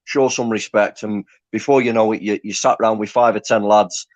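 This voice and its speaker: A man's voice: on the low side, fairly even intonation, moderate pace, no room sound. A man from Lancaster hosting a fan forum, chatting in an enthusiastic, matey way. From Lancaster, England. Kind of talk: casual fan chat.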